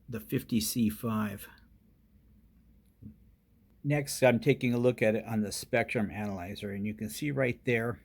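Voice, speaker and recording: A man's voice: on the low side, vocal fry, darkish tone. A man narrating a casual hands-on electronics demonstration as its host.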